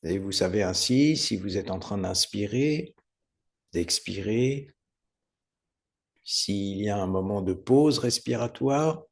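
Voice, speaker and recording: A man teaching guided meditation, giving unhurried, soothing step-by-step instructions with pauses. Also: on the low side, lively intonation, creaky. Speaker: yoga nidra meditation teacher.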